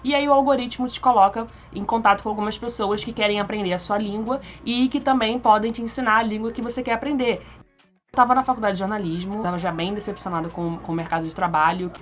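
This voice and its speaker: Fast-talking Carioca young woman vlogger. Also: medium pitch, fairly even intonation, soft background music. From Brazil. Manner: chatty, informal, frequent fillers and restarts. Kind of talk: casual personal storytelling to camera.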